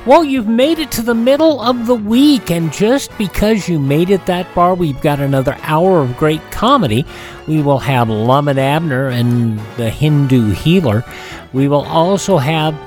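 A man's voice: on the high side, moderate pace, a little mellow, soft background music. An American man hosting a radio show, talking conversationally about history.

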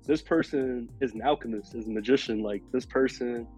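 A man with a raspy, hoarse voice from a cold, rambling casually as a podcast guest with many fillers. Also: mid-range voice, fairly quick, darkish tone, faint music behind, low-quality recording. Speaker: podcast guest, food illustrator.

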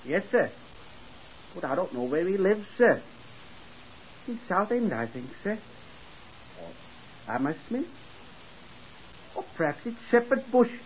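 An actor playing a slow-witted, eager young hotel porter in a 1950s radio drama, deferential and rambling. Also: male voice, high voice, very animated, heavily acted. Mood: eager, uncertain. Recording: muffled, hissy 1950s radio recording.

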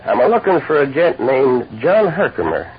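A man playing a folksy, polite aged prospector with a rough, rustic voice in a 1950s radio western. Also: medium pitch, huge pitch swings, normal speed, heavily acted. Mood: folksy, inquiring. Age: older. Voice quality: husky, rough. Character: aged male prospector, folksy, polite, shuffling old-timer. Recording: dull old radio recording.

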